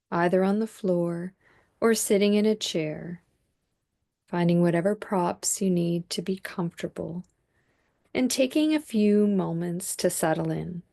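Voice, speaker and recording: A woman leading a guided body scan meditation as a teacher, speaking calmly and in a measured way with long pauses. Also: mid-range voice, gravelly.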